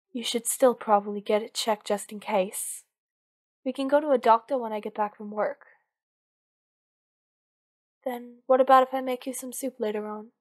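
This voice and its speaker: Woman acting a high-voiced, clingy villain girlfriend. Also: close to an everyday voice. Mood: worried, coaxing. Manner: intimate, earnest, pleading, insistent. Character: possessive, devoted female villain lover, anxious and clingy. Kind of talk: ASMR roleplay acting, one-sided dialogue.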